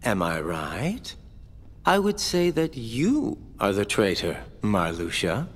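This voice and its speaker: An actor playing a sly, cocky villain in a video game, sardonic and teasing, toying with phrases. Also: male voice, lowish voice, huge pitch swings, takes it slowly, exaggerated voice. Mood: sly, mocking. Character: Axel, sly cocky mocking male villain. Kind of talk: video game cutscene acting. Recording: long reverb, music behind.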